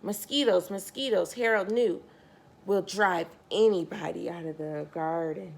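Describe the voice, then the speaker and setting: A woman's voice: lowish voice, unhurried, vocal fry. A woman reading a picture book aloud to young children, expressively, stressing key words and speaking directly to her listeners.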